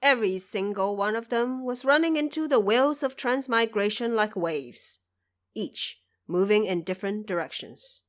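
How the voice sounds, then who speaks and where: A woman narrates a fantasy audio drama from a script. Her delivery is expressive and carefully phrased, at a medium pitch, in the manner of a storyteller. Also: normal speed.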